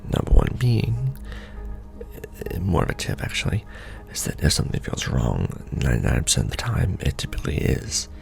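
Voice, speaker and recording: A man playing a weary, wry official of a shadowy secret society, with an extremely low, heavily creaking murmur over clearly audible music. He rambles through an ASMR roleplay briefing, deadpan and sardonic. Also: moderate pace, full character voice. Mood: dry, sardonic. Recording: background music under the voice. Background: background music.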